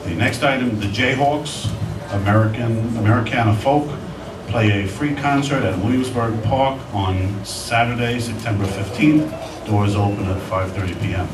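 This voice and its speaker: Distant, echoey low-voiced man reading announcements. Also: normal speed. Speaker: community board district manager reading a report. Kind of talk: reading announcements aloud at a meeting. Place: community meeting room, indoors. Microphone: handheld microphone, recorded by far camera. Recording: distant echoey room recording with clear noise.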